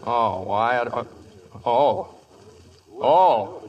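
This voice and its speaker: An actor with an American accent playing a terse, determined drifter cowboy in a radio western, with a hesitant drawl. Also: male voice, mid-range voice, lively intonation, extremely slow, lo-fi sound. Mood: wary, sheepish. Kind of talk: old-time radio western acting.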